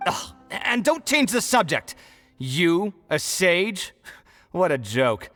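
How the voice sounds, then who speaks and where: A man's voice: high voice, very animated, slow pace, fairly bright tone, faint music behind, heavily acted. An actor playing a proud, easily riled young male architect in a video game, theatrical and exasperated. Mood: indignant.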